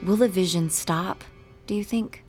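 A woman's voice: fairly low pitch, unhurried, darkish tone, faint music behind, lightly acted. An actress playing a young female artist in an intimate audio drama, troubled and stubborn, with a wry, direct manner. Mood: intimate, uneasy. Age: young adult.

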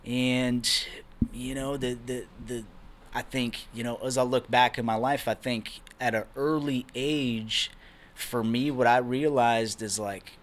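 A young man from Brazil speaks as a podcast guest, laid-back and conversational. His voice sits at an ordinary middle pitch, and he keeps dropping in casual fillers as he explains things. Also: unhurried. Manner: relaxed, conversational, many fillers like "man" and "you know". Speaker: podcast guest, real estate investor. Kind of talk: casual interview talk.

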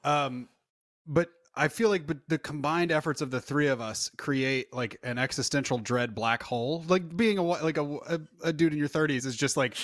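A man in his thirties hosting a casual chat, animated and jokey, acting out his own thoughts in quotes. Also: a little high, dry recording, studio quality. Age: 30s.

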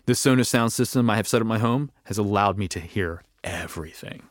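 A man's voice: fairly low pitch, brisk, dry recording, studio quality. A man who co-created a podcast, presenting a conversational scripted sponsor message.

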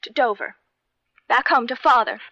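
A woman's voice: a little high, moderate pace, bright, forceful tone, dry recording, faint music behind. An actress playing an indignant, protective woman in a late-1970s radio drama. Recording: dull vintage radio broadcast recording.

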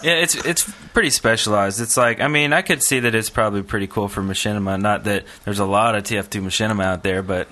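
A man with a lowish, bright-edged voice chats as a podcast panelist. He is easygoing and agreeable, often halting as he finds his words. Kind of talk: casual chat.

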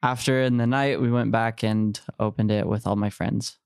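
A man's voice: lowish voice, fairly even intonation, normal speed, gravelly, darkish tone, clear, resonant tone, dry recording, studio quality. A man in his early twenties telling personal stories as a guest in a podcast interview. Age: early 20s. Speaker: podcast guest, returned missionary.

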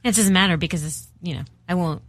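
Lower-pitched woman co-hosting a chatty podcast. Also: fast talker, a little hiss or hum. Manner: chatty, laughs easily. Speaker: podcast co-host. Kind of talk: casual music chat.

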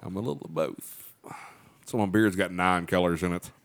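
A man's voice: low-pitched, moderate pace, gravelly. A man with a Southern drawl, a comedian chatting loosely on a podcast. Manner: laid-back, rambling, often laughing. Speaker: comedian and bartender. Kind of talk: casual podcast chat.

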